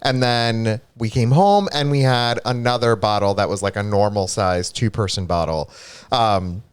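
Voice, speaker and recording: A man's voice: on the low side, normal speed, smooth, dry recording. A man co-hosting a live stream, chatting casually and greeting viewers, with a congested, stuffy-nosed voice. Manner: chatty, reads viewer comments aloud, animated asides. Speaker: streamer co-host.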